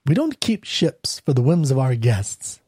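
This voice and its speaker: A man's voice: mid-range voice, sing-song, a little slow, a little mellow, slightly rough, no room sound. Stern front-desk man for a story acted by a male reader: formal, disapproving, clipped refusals, close dry sound. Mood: curt refusal. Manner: formal, curt. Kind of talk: dramatized storybook reading.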